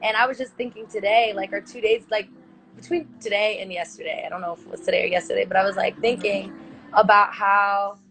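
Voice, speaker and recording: A woman hosting a casual livestream chat, slangy and excitable, quick to laugh. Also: medium pitch, poor audio. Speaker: host.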